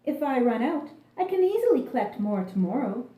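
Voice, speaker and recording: Casting: woman to play carefree singing grasshopper, female, high and very swoopy, theatrical storybook delivery, roomy sound. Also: sing-song, brisk, mellow, far from the mic. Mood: breezy.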